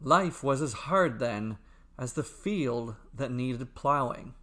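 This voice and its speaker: A man tells a scripted myth in a dramatic storytelling style. His phrasing is slow, measured and deliberate, and his pitch is lively and varied.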